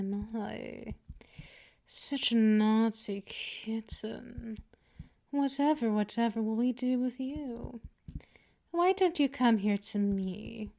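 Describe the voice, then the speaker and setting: A woman playing a dominant, patient female vampire mistress in an ASMR roleplay, teasing, menacing and condescending in a deliberate delivery. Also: a little high, vocal fry, dark tone. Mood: teasing, luring. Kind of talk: ASMR roleplay, scripted monologue.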